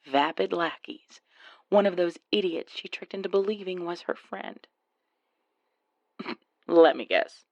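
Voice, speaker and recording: A woman playing a scheming, venomous gold-digging wife in an audio drama, with a breathy, gravelly low voice and sneering contempt. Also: no echo, heavily acted. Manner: sneering, threatening, hissed contempt. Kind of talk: audio roleplay acting.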